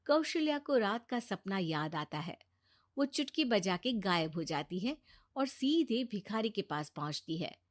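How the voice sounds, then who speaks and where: A woman reading scripted narration for a cartoon bedtime story. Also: a little high.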